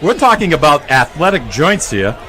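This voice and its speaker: A man hosting a radio broadcast in a lively live-commentary style with joking banter. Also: on the high side, moderate pace, some chatter behind.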